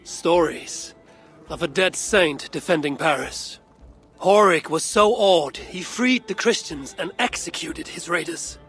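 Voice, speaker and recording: Gravelly, level-headed Viking leader. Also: male voice, a little high, a little slow, fairly bright tone, faint music behind. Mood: thoughtful. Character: level-headed male Viking clan leader, loyal, steady warrior. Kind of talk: video game acting.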